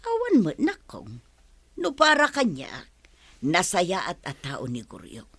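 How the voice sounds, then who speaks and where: A woman's voice: lowish voice, wildly swooping pitch, measured pace, fairly bright tone, dry recording, heavily acted. A woman acting a motherly, reassuring middle-aged landlady in an audio drama, speaking conversationally with a Filipino Ilocano accent.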